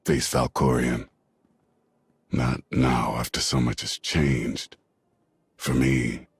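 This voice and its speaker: An actor voicing a solemn, self-doubting reformed warrior in a video game, with a halting, grave delivery. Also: male voice, flat delivery, dry recording, heavily acted. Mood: troubled, fearful. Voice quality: very deep, husky. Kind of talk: video game cutscene acting.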